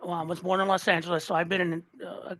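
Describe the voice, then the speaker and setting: A man's voice: high-pitched, little pitch movement, fast, fairly bright tone, dry recording. Fired-up guest in his sixties, talks in bursts and restarts, dry close sound. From Southern California. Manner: fired up, repeats and restarts words. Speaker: listener guest. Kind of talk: casual political chat.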